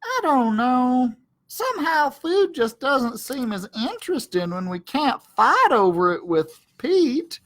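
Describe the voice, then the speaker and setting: A man's voice: extremely high pitch, sing-song, slow, fairly bright tone, voice pushed up, full character voice. A man voicing a small, timid, naive male dog in a children's storybook, with a thin, whiny, plaintive delivery. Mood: glum, wistful. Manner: plaintive, hesitant.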